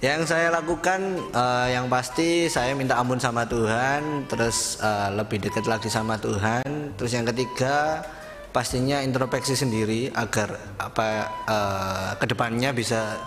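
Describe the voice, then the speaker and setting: A man's voice: mid-range voice, unhurried, music in the background. A twenty-six-year-old man answering plainly and earnestly. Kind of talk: casual interview answers.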